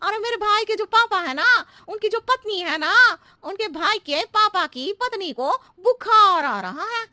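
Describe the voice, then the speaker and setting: A woman's voice: very high-pitched, animated, a little slow, few pauses, pushed and bright, dry recording, exaggerated voice. A woman voicing a scatterbrained housemaid who talks in rambling circles and riddles in a comedy cartoon. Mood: evasive, rambling. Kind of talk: exaggerated Hindi cartoon comedy acting.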